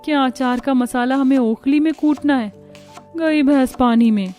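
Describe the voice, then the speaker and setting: A woman's voice: high voice, unhurried, mellow. A woman voicing a put-upon young daughter-in-law in a cartoon, complaining in sarcastic, exasperated asides. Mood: dismayed. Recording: music bed under the voice.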